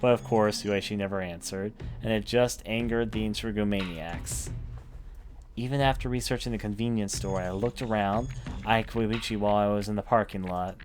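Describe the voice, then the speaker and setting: Low-voiced man narrating horror over music. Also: fairly even intonation, normal speed. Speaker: let's player reading a visual novel aloud. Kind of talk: dramatic first-person story narration for a horror game playthrough. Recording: music behind the voice. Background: game music.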